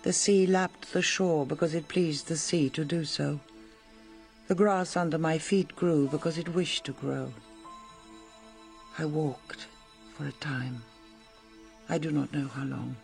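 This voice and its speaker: Creaky-voiced grieving mother. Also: deep voice, fairly even intonation, darkish tone, faint music behind. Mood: grieving, reflective. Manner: slow, measured, mournful. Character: grief-stricken, guilt-ridden royal mother. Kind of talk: solemn radio drama monologue.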